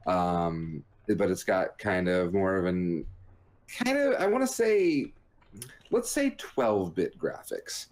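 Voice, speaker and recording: A man's voice: low-pitched, sing-song, slow pace. A man co-hosting a gaming podcast in casual chat, with a jokey, rambling way of talking. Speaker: podcast co-host reviewing video games.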